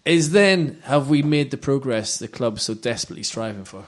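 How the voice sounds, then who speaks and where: Joking podcast host, middle pitch. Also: male voice, expressive, moderate pace. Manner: teasing, jokey, drives the discussion. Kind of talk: casual football podcast chat.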